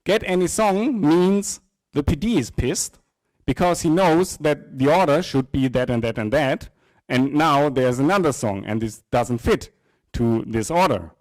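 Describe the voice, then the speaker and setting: A middle-aged man giving an informal live technical presentation, often halting and repeating words. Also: fairly high pitch, lively intonation, no room sound, low-quality recording. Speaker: software presenter. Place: indoor presentation room with small audience. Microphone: handheld microphone.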